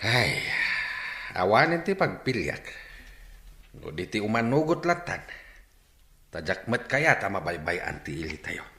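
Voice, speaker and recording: A man with an Ilocano Filipino accent playing an excitable village man in a melodramatic radio drama. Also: fairly low pitch, measured pace, gravelly, pauses often, full character voice. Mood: grave, determined. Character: excitable village man, comrade of the slain leader. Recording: echoey, crowd behind.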